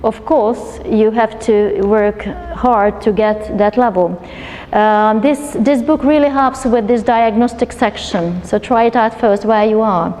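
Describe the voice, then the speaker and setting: Give me a woman presenting a coursebook, mellow tone, long reverb of a lecture hall. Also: on the high side, measured pace. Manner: many restarts and fillers, explaining to a room. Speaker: educational consultant presenting a coursebook. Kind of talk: live presentation to teachers.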